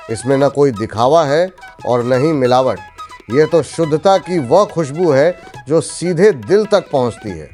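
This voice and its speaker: Cartoon story role: humble old village father, male actor, mid pitch, kindly and principled, music underneath. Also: darkish tone, close to an everyday voice. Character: gentle, humble elderly village father running a roadside eatery. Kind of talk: Hindi cartoon story voice acting.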